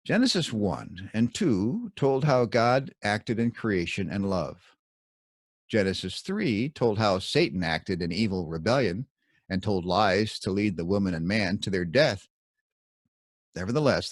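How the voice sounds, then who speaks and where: A man reading his own scripted scripture commentary in a measured, emphatic teaching manner. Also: fairly low pitch, no room sound, clean studio sound.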